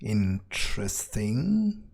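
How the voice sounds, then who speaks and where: A man speaking in character during a video game playthrough, in a halting, musing way. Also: on the low side, big pitch swings, gravelly, a little mellow. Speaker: player voicing his own character in a let's play.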